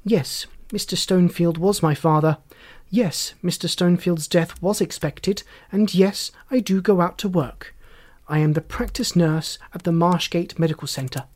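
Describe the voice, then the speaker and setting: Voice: medium pitch, measured pace, a little mellow, close to an everyday voice. A narrator voicing a composed, authoritative well-to-do woman in an audiobook, speaking formally and precisely. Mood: cool, formal.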